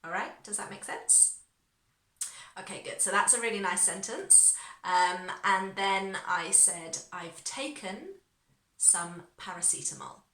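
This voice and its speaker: A woman teaching an online English lesson, encouraging and clear, saying phrases slowly for learners to repeat. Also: mid-range voice, lively intonation, bright, forceful tone, reverberant, poor audio.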